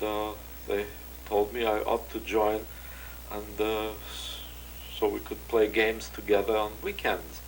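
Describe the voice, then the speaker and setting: An older man giving a measured, recalled testimony as an interviewee, with frequent pauses and filler sounds. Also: lowish voice, slight background noise, poor audio.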